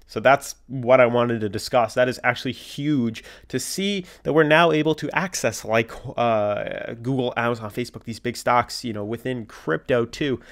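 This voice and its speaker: A man's voice: medium pitch, a bit bright. A man hosting a casual cryptocurrency video, sounding congested from a cold and talking loosely with lots of fillers. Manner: filler-heavy, loose, reads articles aloud. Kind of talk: casual market commentary to camera.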